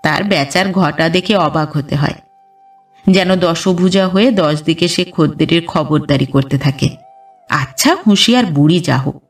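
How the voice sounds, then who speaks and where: A woman reads a literary story as a scripted audiobook narration, in a measured storytelling delivery. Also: sits low, recorded from a distance. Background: background music.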